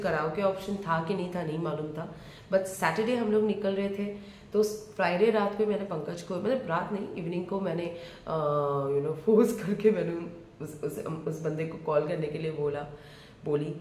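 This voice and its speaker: A woman's voice: fairly low pitch, a little mellow, roomy sound, slight background noise. A woman in her thirties from India, chatting casually to camera as a travel vlogger, rambling and mixing in English phrases, filmed on a phone in a small bedroom. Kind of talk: casual vlog chat. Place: small bedroom, seated on a bed.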